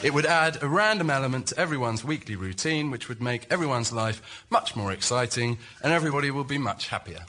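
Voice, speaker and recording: A man with an English accent, a contestant pitching a comic idea on a panel show. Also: lively intonation, a little fast, few pauses, background noise. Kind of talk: reading out a comic pitch, then chatting.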